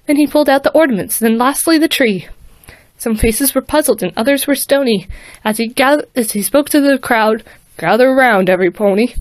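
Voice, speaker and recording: A woman's voice: high voice, no echo. Woman reading rhyming verse aloud. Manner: stumbles and restarts on words. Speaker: fanfiction reader.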